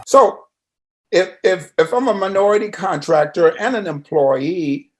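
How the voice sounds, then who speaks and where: A man's voice: high voice, unhurried, music in the background. A man interviewing in a loose conversational style, plain-spoken, folksy and probing.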